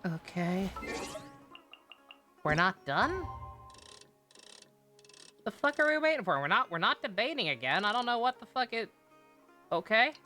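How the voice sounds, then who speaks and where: A woman's voice: mid-range voice, sing-song, unhurried, long pauses, bright, forceful tone, faint music behind. A woman streamer giving casual, blunt, sweary live commentary on a game, with a sarcastic manner.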